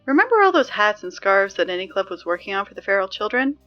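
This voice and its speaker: A woman playing an anxious, wry high school girl reading morning announcements in an audio drama, rambling with sardonic, hesitant asides. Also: big pitch swings, fast, lo-fi sound, soft background music, lightly acted. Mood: awkward, uneasy.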